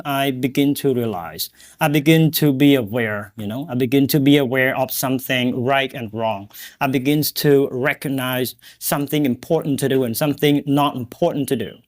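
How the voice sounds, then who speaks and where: Earnest Cambodian-accented man. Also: mid-range voice. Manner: earnest, encouraging, repeats phrases for emphasis. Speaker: English teacher and podcast host. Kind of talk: motivational talk to learners.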